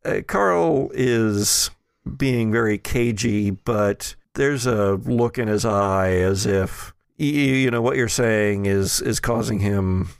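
A man running a tabletop roleplaying game, improvising narration and rulings with a halting, searching delivery. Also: lowish voice, vocal fry, clear, resonant tone, no echo, clean studio sound. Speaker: game master.

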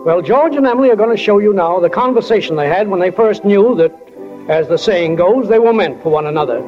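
An actor playing a homespun, wistful stage manager narrating a 1940s radio drama, speaking directly and folksily to listeners. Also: male voice, sits high, animated, brisk. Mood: wistful, folksy. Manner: folksy, direct address to audience. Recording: dull 1940 radio recording with music.

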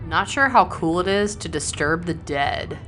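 A blunt, loyal teenage girl who likes to tease. The voice is a little low for a female, in audio drama style, with light music behind it. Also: expressive, unhurried, sharp tone. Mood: wary.

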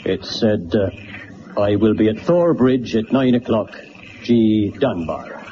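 An actor playing a respectful, plain-spoken police sergeant, reporting matter-of-factly in classic radio drama style. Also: male voice, fairly low pitch, animated, takes it slowly. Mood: dutiful, matter-of-fact. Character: deferential, matter-of-fact male police sergeant. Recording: dull, faintly noisy old radio recording.

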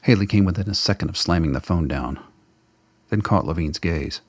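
A man with a gravelly, husky voice reading scripted narration for a radio play. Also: deep voice, normal speed, no room sound, studio quality.

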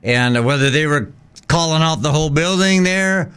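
A man with a clear, smooth voice sitting a little higher than average. He speaks as a guest investigator, explaining things conversationally in an interview. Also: normal speed, fairly bright tone.

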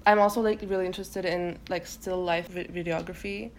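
A young woman from Turkey, a native Turkish speaker, talks through her ideas on a podcast. Her voice sits a little low, and she circles her thoughts with fillers and false starts. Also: steady tone, fairly quick, a little hiss or hum. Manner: hesitant, many fillers and self-restarts. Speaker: guest poet. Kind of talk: casual podcast conversation.

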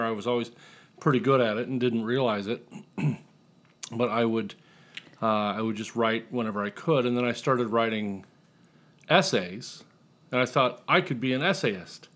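A man's voice: medium pitch, unhurried, pauses often, fairly bright tone. A middle-aged man with an American accent, talking casually as a guest columnist in an interview. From Indiana, United States.

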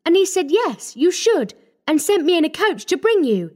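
A man reading an audiobook, voicing an eager, joyful little girl who pleads excitedly. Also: very high voice, moderate pace, pitched above the performer's own voice. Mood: gleeful.

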